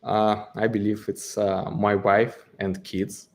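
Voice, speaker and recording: A man's voice: lowish voice, level tone, slow pace, darkish tone. Hesitant man. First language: Russian. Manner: hesitant, searching for words, many fillers. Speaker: guest, exchange co-founder. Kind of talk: interview answers.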